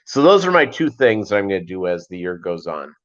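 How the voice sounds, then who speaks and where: Deep-voiced, rambling American man, muffled audio. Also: big pitch swings. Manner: rambling, halting, jokey. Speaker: fishkeeping livestream host and voiceover performer. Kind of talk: casual unscripted livestream chat. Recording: dull lo-fi livestream audio.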